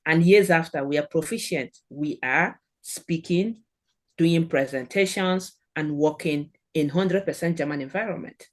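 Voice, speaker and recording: A middle-aged woman with a Nigerian accent speaks as a podcast guest, in a somewhat low voice. She gives earnest, encouraging advice in short, steady phrases. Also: measured pace. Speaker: guest, German language expert. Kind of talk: podcast interview.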